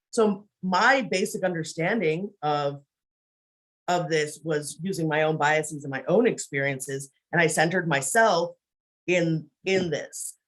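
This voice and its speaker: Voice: mid-range voice, a bit bright, low-quality recording. A presenter giving an informal screen-share training on a video call, talking conversationally and often checking in with the listeners by saying "right?".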